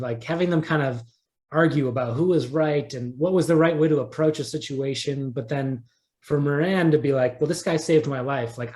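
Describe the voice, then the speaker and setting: A man's voice: a little high, darkish tone. A man being interviewed as an audio drama creator, explaining casually and thinking aloud as he goes. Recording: roomy, echoing sound.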